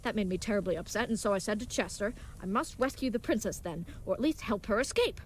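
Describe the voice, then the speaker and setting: Audio drama hero played by a woman: young, naive, gallant leatherworker, quick formal delivery, faint noise behind. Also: medium pitch, expressive, close to an everyday voice. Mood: earnest, chatty. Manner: formal, contraction-free, chivalrous, grandly earnest speech. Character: earnest, brave, naive young leatherworker playing knight, cheerfully oblivious. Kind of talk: scripted audio drama acting.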